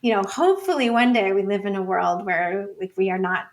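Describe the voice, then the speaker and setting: A woman with a mid-range voice speaks as a guest in a conversational interview. She works through her ideas as she goes, in an informal and discursive style with halting phrasing. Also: medium pitch. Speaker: podcast guest, data and feminism scholar. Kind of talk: conversational interview, thinking aloud.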